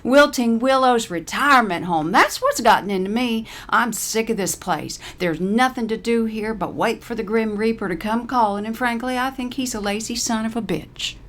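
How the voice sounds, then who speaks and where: A woman's voice: fairly high pitch, normal speed, hardly any pauses, fairly bright tone, voice pushed up, close to an everyday voice. A woman voicing a cranky, sarcastic, salty-tongued old lady in an audiobook story. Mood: fed up. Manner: surly, sarcastic, cursing.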